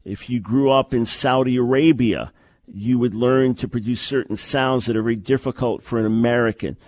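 A man hosts a call-in radio show, pressing his points with earnest emphasis and doubled words. His voice sits at a middling pitch and comes through close and dry, with no room echo. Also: fairly even intonation, moderate pace, darkish tone. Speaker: radio talk show host.

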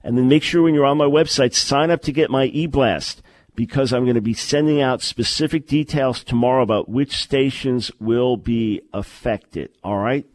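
A man hosts a call-in radio show, pressing his points with earnest emphasis and doubled words. His voice sits at a middling pitch and comes through close and dry, with no room echo. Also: normal speed. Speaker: radio talk show host.

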